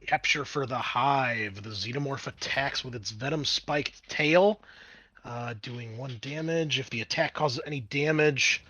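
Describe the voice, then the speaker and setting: Bright-voiced man running a tabletop game. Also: medium pitch, a little slow, dry recording. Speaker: game master. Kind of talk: narrating and refereeing a tabletop roleplaying game. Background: background music.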